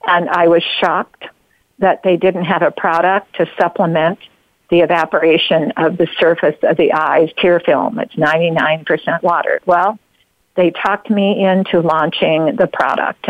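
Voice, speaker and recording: An older woman hosting a talk radio show, speaking in a halting way with many fillers and restarts. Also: lowish voice, no echo. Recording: dull, lo-fi radio sound.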